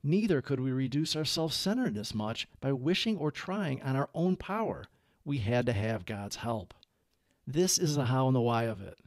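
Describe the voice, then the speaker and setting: A man narrating a book aloud as a reader, with measured, clearly phrased delivery. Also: mid-range voice, sing-song, dry recording, studio quality. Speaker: audiobook reader.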